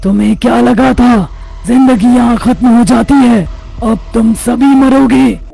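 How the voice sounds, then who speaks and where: A woman voicing the vengeful, menacing ghost of a murdered mother in a cartoon horror story, with a gravelly rasp. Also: on the high side, measured pace, warm tone, a little hiss or hum. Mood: vengeful menace. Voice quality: gravelly, rasping. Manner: menacing, accusing. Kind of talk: Hindi animated horror story dub. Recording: music under the voice.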